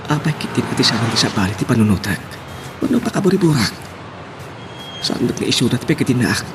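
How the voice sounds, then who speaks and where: A man's voice: mid-range voice, very animated, brisk, close to an everyday voice. A man playing an ardent, sweet-talking young lover who woos and pleads in a radio romance drama. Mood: pleading, reassuring. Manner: wooing, pleading. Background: music.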